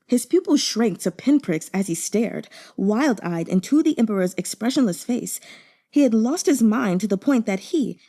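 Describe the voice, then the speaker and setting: A woman reading a novel aloud as dramatic narration, expressive and deliberate. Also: a little high. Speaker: audiobook reader.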